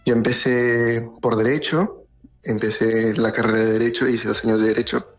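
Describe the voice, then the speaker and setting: A man's voice: medium pitch, level tone, moderate pace. Young man with a faint Colombian accent. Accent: faint Colombian, softened by years in Spain. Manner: earnest, thoughtful, hesitant. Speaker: medical researcher, interview guest. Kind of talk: radio interview.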